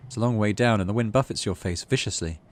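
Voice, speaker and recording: A man's voice: lowish voice, fast. A British man hosting a gaming playthrough, reading game text aloud and chatting casually about it. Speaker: gaming channel host.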